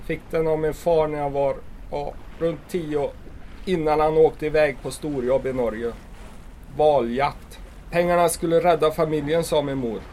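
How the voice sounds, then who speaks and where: A man's voice: a little high, fairly even intonation, a little mellow, a little hiss or hum, close to an everyday voice. A man playing a stubborn, bitter old fisherman in an audio drama, speaking in an emotional, plaintive way. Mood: wistful.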